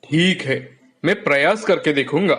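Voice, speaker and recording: A man's voice: on the high side, moderate pace, a bit bright, natural voice. A man voicing a modest, helpful village carpenter in a storybook cartoon.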